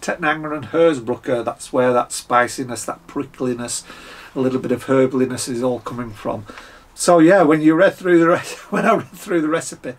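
A croaky Scottish man in his fifties, sounding husky, talks casually through a beer tasting at a desk, with plenty of fillers and the odd throat clearing. His pitch sits in the middle, and the sound is close to the camera in a small room at home. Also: normal speed. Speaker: beer reviewer.

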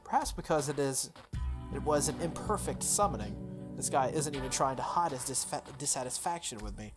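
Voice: mid-range voice, a little fast. Young streamer reading over prominent music. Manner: expressive reading with playful asides. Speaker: game streamer. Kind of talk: visual novel playthrough, reading text aloud with casual reactions. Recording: music playing under the voice. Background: background music.

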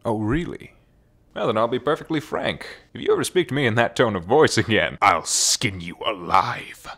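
A man's voice: lowish voice, normal speed, bright, forceful tone. A man voicing a volatile, shifty dad who turns sweet with family, in an anime parody dub. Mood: menacing. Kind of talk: fan parody dub acting.

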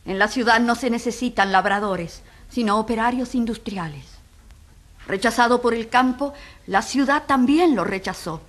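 An actress playing a solemn, compassionate narrator at a tribunal, grave and rhetorical in scripted dramatic narration. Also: female voice, a little high, normal speed, a bit bright. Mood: solemn, indignant. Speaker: narrator and moderator of a dramatized trial.

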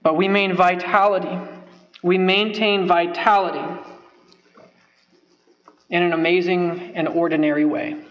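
A man's voice: high voice, little pitch movement, measured pace, pauses often, a bit bright, dull audio. A young man preaching from a church pulpit, earnest and emphatic, stressing points by repeating phrases. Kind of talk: sermon. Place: church sanctuary, at a wooden pulpit. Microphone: pulpit microphone.

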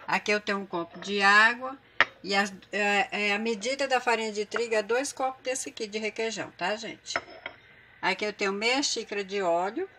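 A woman's voice: medium pitch, normal speed. Woman, Brazilian Portuguese accent, chatty cooking host, home kitchen, phone camera, faint noise behind. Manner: chatty, addresses viewers as gente.

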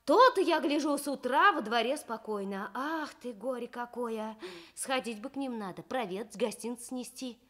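A woman's voice: a little high, animated, normal speed, a bit bright. A woman playing a simple, kindly, talkative yard-keeper who rambles and exclaims in folksy speech for a radio story. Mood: exclaiming, sympathetic. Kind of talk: Soviet 1960s children's radio storytelling.

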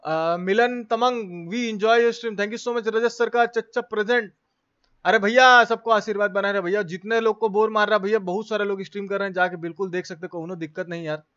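A man's voice: high-pitched, steady tone, a little fast, fairly bright tone, clean tone, muffled recording. A man hosting a live game stream, chatting casually and slangily as he greets viewers by name.

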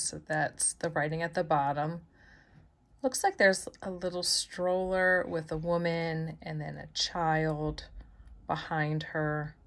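A middle-aged woman chatting easily through her thrift and flea market finds, with soft asides. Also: low voice, creaky. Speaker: vlogger showing thrift finds. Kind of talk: casual show-and-tell narration. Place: indoors at a table, close-up of hands.

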